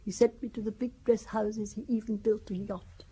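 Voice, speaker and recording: A woman's voice: medium pitch, little pitch movement, moderate pace, warm tone, a little hiss or hum, close to an everyday voice. An older woman playing a genteel, chatty French widow in an audio drama, confiding and wistful as she reminisces. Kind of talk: audio drama acting, conversational storytelling.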